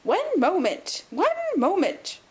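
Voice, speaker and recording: Miserly old nobleman played by a female narrator, high and crackly, querulous, indignant, swooping storybook delivery. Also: wildly swooping pitch, heavily acted. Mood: querulous, challenging. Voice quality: sharp crackle. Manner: quick, intense, indignant. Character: querulous, miserly little old lord, sharp and twitchy. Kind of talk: audiobook character acting.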